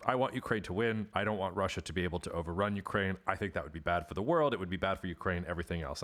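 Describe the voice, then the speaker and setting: A man with a very deep voice hosts a podcast and asks long, earnest questions. He hedges often, padding his phrasing with "sort of" and "like" as he sets up each question. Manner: earnest, hedging, many "sort of" qualifiers. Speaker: podcast host. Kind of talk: interview questions.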